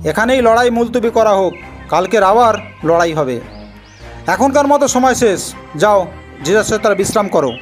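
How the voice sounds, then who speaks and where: An imperious older king from a fairy-tale cartoon, acted out by a man, pitched high for a male voice. He commands and questions with suspicion and threat, over clear background music. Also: expressive, moderate pace, long pauses. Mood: astonished, commanding.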